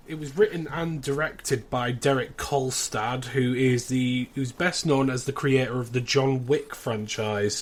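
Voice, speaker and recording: A man co-hosting a podcast, chatting casually and running through summaries with wry, dry asides. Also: medium pitch. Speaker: podcast co-host presenting the episode. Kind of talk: casual podcast chat with synopsis rundown.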